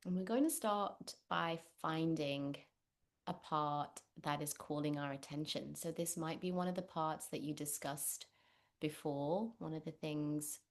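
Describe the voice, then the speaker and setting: A woman leading a guided meditation, speaking gently and unhurriedly with long pauses. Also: sits low. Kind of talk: guided meditation, spoken instruction.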